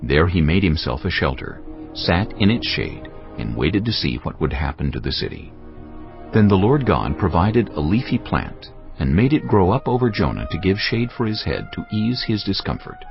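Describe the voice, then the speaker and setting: A man narrating scripture for a dramatized audio production in a deliberate storytelling manner. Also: low-pitched, big pitch swings, gravelly. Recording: dull band-limited audio, faint music.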